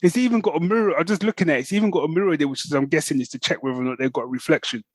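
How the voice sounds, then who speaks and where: A man's voice: fairly high pitch, racing, dry recording. Man chatting casually, close and dry. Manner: rambling, loose and colloquial. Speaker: podcast co-host. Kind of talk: casual news chat.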